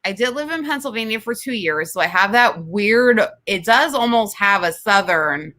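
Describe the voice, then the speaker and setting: A woman with a New Jersey accent chats bluntly as a co-host, swearing freely and laughing a lot. Her voice is bright and forceful. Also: medium pitch, animated, normal speed. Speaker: podcast co-host. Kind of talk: casual chat.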